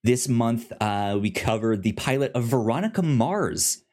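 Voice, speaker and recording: A man's voice: mid-range voice, animated, a little slow, darkish tone. A man hosting a podcast in a casual, chatty style, often stammering over sounds and words. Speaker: podcast host.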